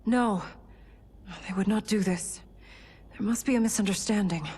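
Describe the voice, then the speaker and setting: A woman's voice: mid-range voice, normal speed, background noise, natural voice. An actress playing a dutiful young princess in a video game, formal, firm and resolute, with music behind her. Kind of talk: dramatic video game acting.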